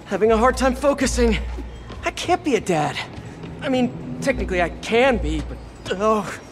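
Young American superhero, nervous rambler, quippy, very animated pitch, video game, music behind. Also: male voice, normal speed. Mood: nervous, rambling. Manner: rambling, self-questioning, quippy.

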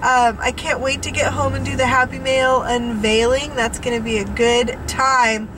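High, bubbly woman in her forties, rambling car vlog, stuffy nose, road hum underneath. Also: little pitch movement, normal speed. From California. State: sniffly from allergies. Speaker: vlogger. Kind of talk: casual chat while driving. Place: inside a car, driving. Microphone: dashboard camera mic. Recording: road noise behind voice.

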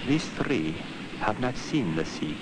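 A man narrating an educational film in simple scripted sentences, telling it like a story in measured, deliberate phrases. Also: on the low side, very animated, background noise. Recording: 1960s educational film soundtrack with music. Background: guitar music.

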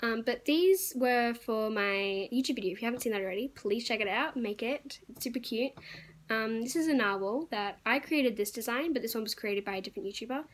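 A woman's voice: on the high side, a little fast. Chatty young woman, voice cracking. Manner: chatty, rambling, lots of fillers and little laughs. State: losing her voice, cracking. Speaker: crafting YouTuber. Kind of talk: casual show-and-tell vlog.

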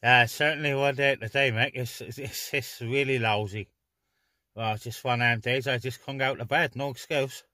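A man's voice: medium pitch, fairly even intonation, normal speed, pushed and bright, dry recording. A man chatting casually as an amateur CB radio operator, recorded inside a parked car.

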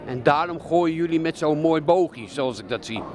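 A man's voice: fairly high pitch, expressive, normal speed, noisy background. A male reporter asking questions in a chatty, encouraging way inside a bowling centre. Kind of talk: casual interview questions.

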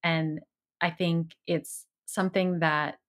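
A woman co-hosts a podcast and speaks slowly and thoughtfully. Her voice is one of the deepest among women. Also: flat delivery. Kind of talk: casual interview chat.

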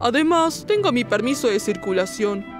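Easygoing anime lad, voiced by a woman, lilt, slightly high, music under. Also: expressive, normal speed, natural voice. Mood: breezy. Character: easygoing careless young male anime character. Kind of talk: comedic fan dub.

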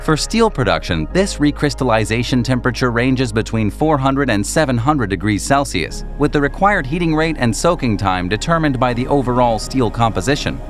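A man delivers scripted documentary narration over clearly audible music. His mid-pitched voice moves with lively intonation. He explains things in a measured, orderly way, as a narrator does.

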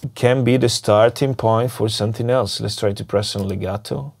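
Man with a cold demoing music software. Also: on the low side, moderate pace. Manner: relaxed, thinking aloud as he clicks through. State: has a cold, losing his voice. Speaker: music production tutor. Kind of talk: screen-recorded software tutorial. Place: small dim home studio, indoors. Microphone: lapel mic. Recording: music clearly under the voice. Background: music playing from the session.

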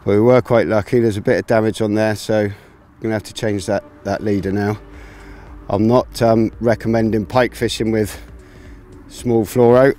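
Man, 30s, low mellow voice, relaxed outdoor tutorial presenter on a riverbank, lapel mic. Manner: relaxed, explains gear step by step with many fillers. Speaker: angling presenter.

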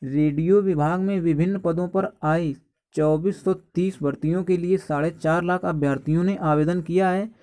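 A man's voice: a little high, fairly even intonation, moderate pace, warm tone. A man giving informal exam guidance as a coaching teacher, instructive and often addressing his students as friends.

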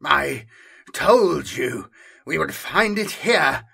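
A man's voice: fairly high pitch, expressive, very slow, vocal fry, pushed and bright, breathy edge, voice pushed up, full character voice. A man voicing a frail, cunning old sorcerer-scholar in an audiobook, with a harsh, quavering voice. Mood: sly, pleased.

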